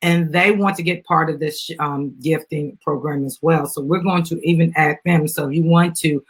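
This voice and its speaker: A woman's voice: low-pitched, fairly even intonation, moderate pace, slightly rough. An American woman chatting informally to viewers as she hosts a casual live video and promotes a children's book. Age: adult, mother of a young child. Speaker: live stream host, business founder.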